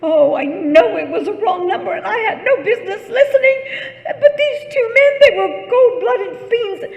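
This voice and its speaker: A frail, imperious housewife in a radio thriller, with a very high voice. She frets and scolds in a flustered, melodramatic way. The sound rings in an echoey hall. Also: moderate pace, a little mellow, lo-fi sound. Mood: agitated, pleading. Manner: fretful, flustered, indignant, melodramatic. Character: frail, nervous, imperious invalid wife alone at home. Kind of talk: old-time radio thriller acting. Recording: echoey hall with long reverb.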